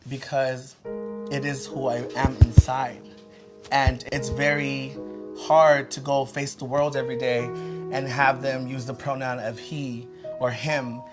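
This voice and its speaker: A man's voice: medium pitch, flat delivery, a little slow, music in the background. Campy, sassy man stylist. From El Salvador. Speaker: celebrity fashion stylist. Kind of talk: reality show confessional and chat.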